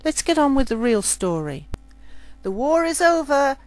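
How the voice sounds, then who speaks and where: A woman reads a scripted sci-fi adventure as its storyteller. Her voice sits a little higher than most women's, and she delivers it as book narration. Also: very animated, a little slow, darkish tone, no echo, faint music behind.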